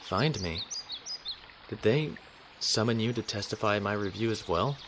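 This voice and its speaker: A man's voice: fairly low pitch, normal speed, noisy background, natural voice. A man playing a conflicted, honorable young naval officer in a sci-fi audio drama, earnest and brooding. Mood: surprised, wry.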